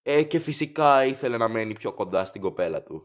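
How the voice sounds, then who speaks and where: A man's voice: mid-range voice, expressive, lightly acted. A man playing a courteous, chatty factory director in an audio drama, formal, rambling and eager to please.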